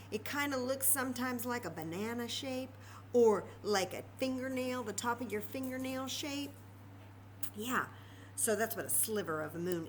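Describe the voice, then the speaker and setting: A woman storyteller reading aloud to young children in an expressive, chatty way, full of wonder and questions. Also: fairly high pitch, slight background noise, rough recording.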